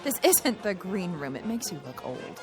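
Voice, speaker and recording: A woman's voice: medium pitch, big pitch swings, normal speed, crowd noise, lightly acted. An actress in a radio drama playing a flippant, flirty, party-loving wife who talks in period slang, with music behind her. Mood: dismissive.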